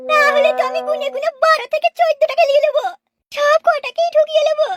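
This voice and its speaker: A shrill cartoon village wife with an extremely high, squeaky voice. She is boastful and quarrelsome, rattling out her complaints quickly. The recording has clear noise behind the voice. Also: little pitch movement. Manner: whiny, boastful, exclamatory. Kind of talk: comic cartoon dubbing.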